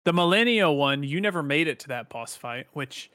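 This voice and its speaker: A man's voice: a little high, animated, normal speed, bright, forceful tone, no echo. A man in his twenties co-hosting a gaming podcast, eager and agreeable, with frequent "yeah" and "um.". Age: 20s. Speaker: podcast co-host. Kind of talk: casual chat.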